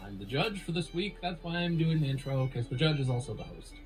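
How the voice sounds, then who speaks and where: A man hosts a comedy podcast with a fairly high voice that bounces up and down. He is playful and sarcastic and rambles a little, with faint music behind him. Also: animated, brisk, poor audio. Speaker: podcast host and judge.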